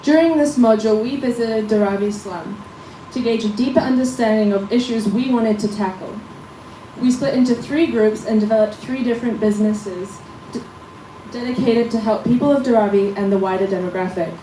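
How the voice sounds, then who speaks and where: A young woman in her late teens gives a rehearsed presentation, setting her points out clearly in numbered order. Her voice is fairly high and mellow, and she sounds distant in an echoing room. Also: brisk, a little hiss or hum. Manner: clear, numbered, read-through delivery. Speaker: student presenter.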